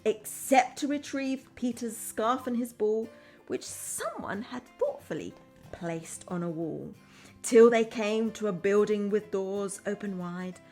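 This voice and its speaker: A woman's voice: mid-range voice, slow, darkish tone, soft background music. A woman primary teacher reading a storybook aloud to young children, expressively, with simple chatty asides.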